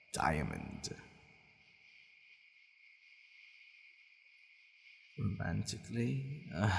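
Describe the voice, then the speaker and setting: A man's voice: deep voice, creaky, heavily acted. A man playing a haughty, possessive vampire who is gradually growing fond of his captive, in an ASMR roleplay, with a teasing, commanding manner and hesitant asides. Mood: explanatory, casual. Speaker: audio roleplay voice actor. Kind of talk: ASMR boyfriend roleplay acting. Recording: long reverberant hall echo.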